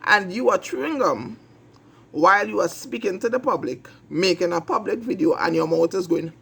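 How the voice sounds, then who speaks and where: High, gravelly Trinidadian man ranting. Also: animated, moderate pace, a bit bright. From Trinidad and Tobago. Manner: emphatic, repetitive, blunt and profane. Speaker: host of an online talk show. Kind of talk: opinionated commentary monologue.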